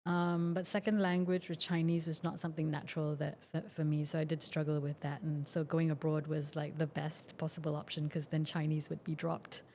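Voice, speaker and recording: A woman in her forties from Singapore chats easily as a podcast guest, her voice sitting a little on the low side. She talks in a loose, conversational flow full of small fillers. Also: brisk, few pauses, slight background noise. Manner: chatty, relaxed, frequent fillers. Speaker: guest, functional medicine doctor. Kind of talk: casual interview talk.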